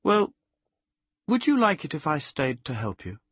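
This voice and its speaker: Audiobook role: bright, devoted young woman, acted by a man, lifted pitch, animated and coaxing. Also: breathy edge, no echo, clean studio sound, exaggerated voice. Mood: tentative, coaxing. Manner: coaxing, emphatic, halting when unsure. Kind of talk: audiobook character voice.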